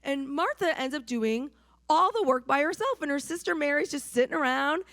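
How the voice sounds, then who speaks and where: A woman from New Hampshire preaching with a hoarse, slightly raised voice. She talks conversationally and keeps checking in with her listeners. The sound is close and dry, with no room around it. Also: high voice, lively intonation, moderate pace, a bit bright, dry recording. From New Hampshire, USA. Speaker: pastor. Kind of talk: sermon.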